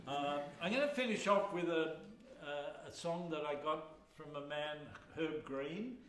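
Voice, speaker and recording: Soft-spoken older Australian man in an echoey hall. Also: fairly high pitch, takes it slowly. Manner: soft-spoken, rambling, many fillers. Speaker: folk singer and performer. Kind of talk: chatty between-song stage talk at a live folk concert. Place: small live music hall with an audience. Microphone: no microphone, recorded from the hall. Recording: echoey hall, voice heard from far off. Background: acoustic instruments playing.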